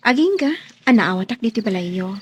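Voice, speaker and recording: A woman's voice: mid-range voice, expressive, brisk, slight background noise, natural voice. A woman playing a meek, polite young housemaid in a radio drama, speaking deferentially. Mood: humble, earnest.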